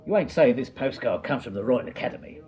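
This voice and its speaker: An actor playing a blunt, cheeky Victorian police constable in a radio drama, with a working-class London accent and a colloquial manner. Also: male voice, mid-range voice, lively intonation, fast, darkish tone, natural voice. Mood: puzzled, cheeky. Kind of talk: 1980s British radio drama acting.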